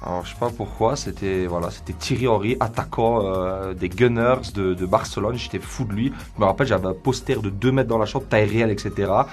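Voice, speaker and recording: A man's voice: on the low side, fairly quick, few pauses. A 27-year-old man from Belgium and Croatia, chatting casually as an interviewee. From Belgium, also Croatia. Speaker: interviewee in a couple's question game. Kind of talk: casual chat. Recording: music bed behind the voice.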